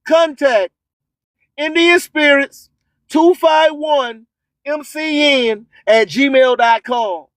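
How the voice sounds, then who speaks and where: A man's voice: very high-pitched, dry recording, low-quality recording. A man hosting a radio show, delivering promotional patter and preachy slogans, repeating phrases for emphasis. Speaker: radio host.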